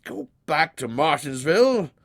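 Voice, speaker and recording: A man's voice: a little high, very animated, creaky, voice pushed up, heavily acted. Man playing a proud, stately President. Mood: surprised. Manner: pompous, oratorical, deliberate. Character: proud, solemn President, folksy statesman, decisive and kindly.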